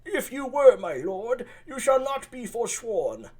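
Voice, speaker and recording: A reader voicing a courteous old nobleman who welcomes his guests, pitched high. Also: very animated, slow, a little mellow.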